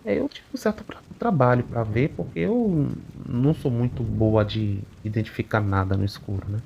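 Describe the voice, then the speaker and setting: Gravelly man telling a spooky tale. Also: medium pitch, a little mellow, slight background noise. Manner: conversational, unhurried, with frequent tag questions. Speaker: channel narrator reading a listener's account. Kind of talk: first-person storytelling of a supernatural account. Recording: muffled recording with faint rain behind.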